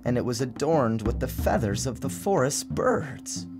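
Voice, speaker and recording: A man narrating his own story as a dramatic storybook reading, in a theatrical, measured and expressive manner. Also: medium pitch. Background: music.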